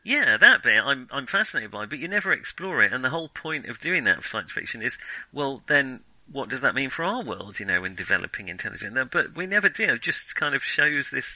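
Male film podcast host, very bright sharp voice, dry close sound, opinionated and halting in casual discussion. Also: medium pitch, very animated, no echo, low-quality recording. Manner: hesitant, self-interrupting, opinionated. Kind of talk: casual film criticism chat.

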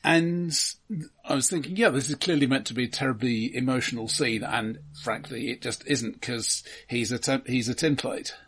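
A man co-hosting a podcast, casually critiquing films in an analytical, halting way with dry humour. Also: medium pitch, a bit bright, husky. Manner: analytical, halting, dryly humorous. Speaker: podcast co-host.

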